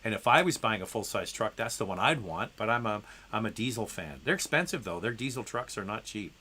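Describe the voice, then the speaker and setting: A man's voice: fairly low pitch, lively intonation, brisk, bright, forceful tone, breathy edge. A man hosting a live Q&A and answering viewer questions, congested from a head cold, talking casually with lots of ums and restarts. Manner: conversational, with frequent ums and restarts; reads viewer messages aloud. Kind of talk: live stream Q&A.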